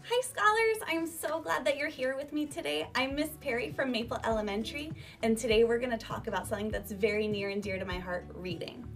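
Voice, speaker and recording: Female primary teacher, high voice, emphatic instructional delivery for children, music clearly behind her. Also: a little fast, few pauses. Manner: emphatic, animated teacher delivery with rhetorical questions. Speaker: elementary school teacher. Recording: distant microphone, music behind.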